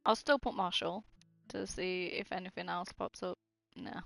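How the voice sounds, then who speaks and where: A woman's voice: on the low side, steady tone, normal speed, creaky, a bit bright, no room sound, faint music behind. A woman chatting casually and informally as she streams her gameplay, swearing freely. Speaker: game streamer. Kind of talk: casual live gameplay chat.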